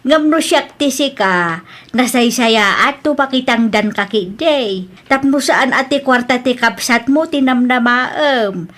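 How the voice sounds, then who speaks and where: A woman's voice: fairly high pitch, a little slow, a bit bright. A woman acting a nagging, judgmental middle-aged aunt in an Ilocano audio drama.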